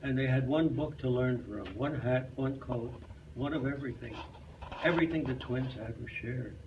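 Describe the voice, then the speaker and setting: A man's voice: medium pitch, normal speed, muffled recording. A man reading a story aloud at a live reader's theater, recorded in a hall from a distance. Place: clubhouse hall, live performance. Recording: distant mic in hall, faint noise.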